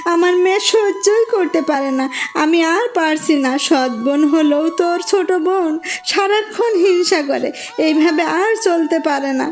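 A woman acting a high-pitched, harsh cartoon stepmother. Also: darkish tone. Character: harsh scolding stepmother and her meek, wronged stepdaughter.

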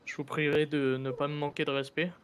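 A man with a fairly high voice improvises as a sheriff in game role-play. He talks in formal, curt commands, with faint noise on the voice chat. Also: little pitch movement, a little fast. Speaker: player role-playing a sheriff in an online game. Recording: faintly noisy gaming voice chat.

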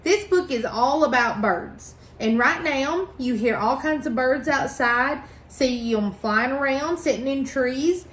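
A middle-aged woman reading a picture book aloud to children, phrasing expressively and deliberately. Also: sits high, slight background noise. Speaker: library storytime reader. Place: indoors at a table in a small room.

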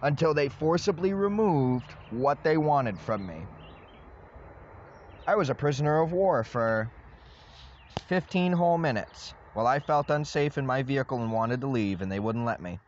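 A young man ranting loudly and rambling into his phone from inside a parked vehicle. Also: mid-range voice, expressive, normal speed, dull audio, slight background noise. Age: young adult. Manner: loud, agitated, rambling rant. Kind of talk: ranting selfie vlog. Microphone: phone at arm's length.

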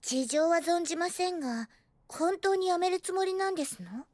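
Anime schoolgirl: prim, cheeky, ladylike airs, high and very animated. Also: normal speed, no room sound, clean studio sound. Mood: guarded, frank. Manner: affectedly ladylike, prim phrasing. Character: prim young girl, cheeky, guarded. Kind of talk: anime drama dialogue.

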